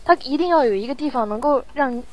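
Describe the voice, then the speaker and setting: A woman interviewed in a casual chat, talking in a halting, reflective way as she explains her work. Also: sits high, animated. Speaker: audio drama sound producer, interview guest.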